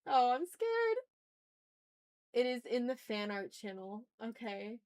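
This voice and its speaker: A woman's voice: fairly high pitch, sing-song, slow pace, darkish tone. A woman hosting a casual drawing livestream, playfully chatting with viewers and thinking aloud as she works. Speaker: art livestream host.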